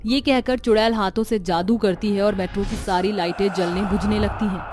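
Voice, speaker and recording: A woman narrating a scripted cartoon horror story in a steady, dramatic storytelling manner. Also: mid-range voice, brisk, over music.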